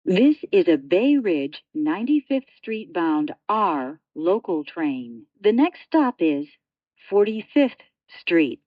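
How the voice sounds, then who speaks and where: A woman's voice: lowish voice, sing-song, slow, dry recording. A woman reading scripted automated train announcements in clipped, segmented phrases, as a subway announcer. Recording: dull lo-fi transit announcement audio.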